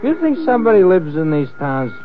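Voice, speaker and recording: A man's voice: fairly high pitch, sing-song, a little fast, faint music behind. A man playing a tipsy, jittery, coaxing fellow who stammers and repeats himself in an old-time radio drama. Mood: tipsy, jovial. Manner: stammering, repetitive, nervous. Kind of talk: 1940s-50s radio drama acting. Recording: tinny, faintly noisy old radio recording.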